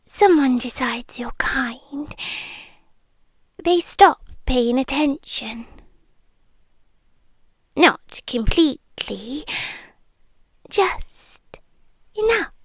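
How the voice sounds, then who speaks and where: A British woman playing a sweetly menacing, possessive vampire girlfriend in an ASMR roleplay, speaking in coaxing, breathy whispers with pauses, close to the microphone. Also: high voice, no echo, full character voice. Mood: quietly ominous. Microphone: close microphone, dry.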